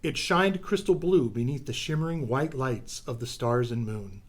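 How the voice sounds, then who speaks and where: A man's voice: mid-range voice, moderate pace. A man narrating a radio adventure serial in a theatrical, suspenseful storytelling style.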